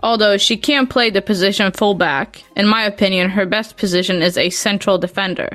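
A woman's voice: medium pitch, fairly even intonation, fairly quick, fairly bright tone, soft background music. A woman with a Swedish accent hosting a podcast and asking casual interview questions in a chatty way. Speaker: podcast host.

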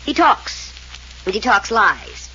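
Brief: defiant, sardonic rich young woman; lively, expressive delivery; dull old radio recording with faint hiss. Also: medium pitch, slow, exaggerated voice. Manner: sharp, defensive, sardonic. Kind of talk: 1940s radio acting.

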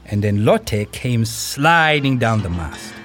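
A proud circus showman in an audio play, telling his story slowly and with suspense. His pitch swings widely between measured, emphatic pauses. Music plays behind him. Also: very animated, a bit bright. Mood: suspenseful, grave. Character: circus troupe director recalling a high-wire act, dramatic, proud. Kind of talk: dramatic first-person storytelling in an audio play.

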